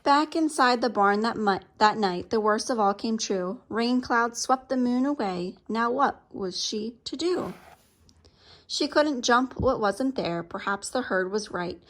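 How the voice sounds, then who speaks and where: A woman reading a picture book aloud to children in an expressive storytelling style. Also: fairly high pitch, a little slow.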